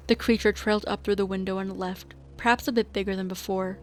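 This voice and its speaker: A woman's voice: medium pitch, fairly even intonation, quick pace. A woman reading scripted story narration as the narrator of a radio drama.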